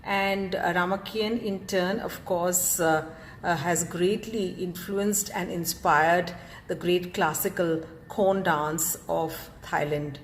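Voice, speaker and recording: A woman introducing a cultural program in a formal welcome speech, pausing often with fillers. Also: lowish voice, fairly even intonation, a little hiss or hum. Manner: hesitant, many filler pauses.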